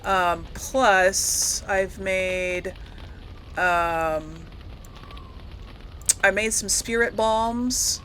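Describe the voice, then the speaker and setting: Bright-voiced woman chatting through a game. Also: medium pitch, steady tone, extremely slow, long pauses, a little hiss or hum. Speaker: gaming host. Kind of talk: casual chatty game playthrough commentary.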